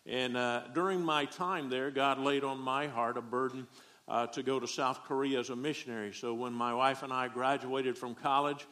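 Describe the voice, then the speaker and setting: A man's voice: medium pitch, fairly even intonation, moderate pace, bright, forceful tone. A middle-aged man from Texas with a Southern accent, preaching a folksy, joking sermon as a guest preacher.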